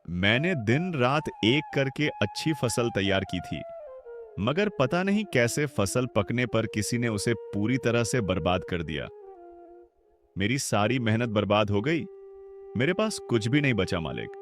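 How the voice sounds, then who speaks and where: A man's voice: mid-range voice, huge pitch swings, a little slow. An actor playing a poor farmer and father in a cartoon, sorrowful and pleading. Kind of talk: cartoon voice acting. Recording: music behind the voice.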